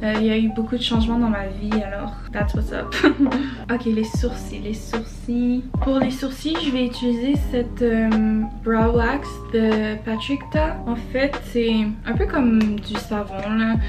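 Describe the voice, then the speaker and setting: Chatty young Québécoise vlogger. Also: female voice, on the high side, fairly even intonation, unhurried. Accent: Québécois French. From Quebec, Canada. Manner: chatty, frequent hesitations, mixes in English words. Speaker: beauty vlogger. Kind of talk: casual makeup tutorial chat. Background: background music.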